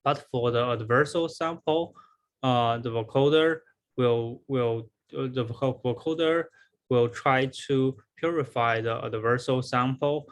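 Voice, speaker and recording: A man's voice: medium pitch, measured pace. Man giving a scripted academic presentation. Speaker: researcher presenting a conference paper.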